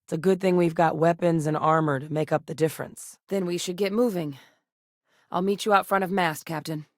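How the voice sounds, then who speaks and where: A woman's voice: lowish voice, fairly even intonation, brisk, dry recording, clean studio sound, lightly acted. An actress voicing a tough, no-nonsense female military veteran and scientist in a sci-fi video game, speaking in a clipped, matter-of-fact, commanding way. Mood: composed, matter-of-fact. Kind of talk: scripted video game character dialogue.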